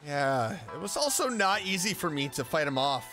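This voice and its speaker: A man casually reacting to a video game as a streamer, expressive and enthusiastic. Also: fairly high pitch, moderate pace, faint music behind. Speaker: gameplay streamer.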